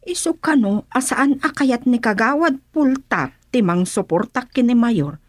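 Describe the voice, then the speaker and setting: Woman acting a gossipy ilocano councilwoman, radio drama, high voice, very animated, dry recording. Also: a little slow, dry recording. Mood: tattling. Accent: Filipino, Ilocano.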